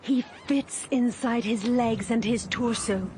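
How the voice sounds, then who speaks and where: Calm woman narrating a radio drama. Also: a little high, takes it slowly, slight background noise.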